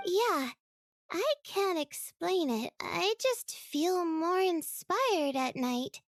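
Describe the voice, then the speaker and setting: A woman's voice: very high voice, animated, very slow, heavily acted. A woman voicing a dreamy young designer with a casual, musing manner in a video game. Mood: relieved, musing. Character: youthful female freelance designer, dreamy night owl. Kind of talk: video game dialogue acting.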